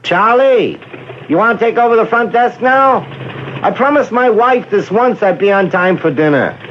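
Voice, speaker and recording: An actor playing a harried but kindly middle-aged Brooklyn police officer in a radio drama, folksy and insistent. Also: male voice, sits high, lively intonation, moderate pace. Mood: hurried. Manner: folksy, insistent, reassuring questioning. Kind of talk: 1970s radio drama acting. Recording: muffled, noisy old radio broadcast.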